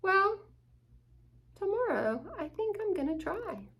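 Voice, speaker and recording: A woman voicing a curious, hopeful little male dog who is polite, determined and softly questioning in a storybook. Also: very high voice, very animated, takes it slowly, a little hiss or hum, voice pushed up, full character voice.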